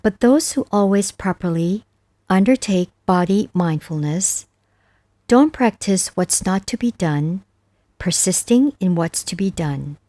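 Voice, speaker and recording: Slow, mellow woman narrator. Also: medium pitch, animated, no echo. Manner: measured, deliberate phrasing. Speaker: narrator of a Buddhist scripture audiobook. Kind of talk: scripted narration, verse recitation.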